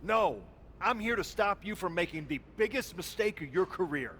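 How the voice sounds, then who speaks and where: Earnest, animated wrestling veteran. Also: male voice, high voice, normal speed, slight background noise. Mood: urgent pleading. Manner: urgent, pleading. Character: earnest male wrestling veteran pleading with a friend. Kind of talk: video game cutscene acting.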